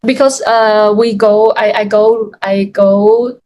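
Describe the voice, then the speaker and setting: Young Chinese-native woman guest, mid-pitch, halting English full of restarts, faint rumble in the background. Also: steady tone, a little mellow. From Yunnan, China. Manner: hesitant, many restarts and fillers, laughs often. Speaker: guest, freelance Chinese teacher. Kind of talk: casual interview chat.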